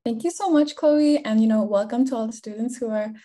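A young woman from Malaysia speaking as a panelist over a video call, chatty and relaxed. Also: a little high, brisk. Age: young adult. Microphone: laptop webcam on video call.

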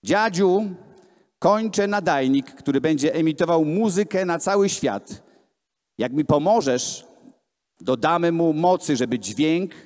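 A man voicing an eager, restless young inventor grandson in a storybook, excited and insistent, in an echoey hall. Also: fairly high pitch. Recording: echoey hall, amplified voice filmed from the room.

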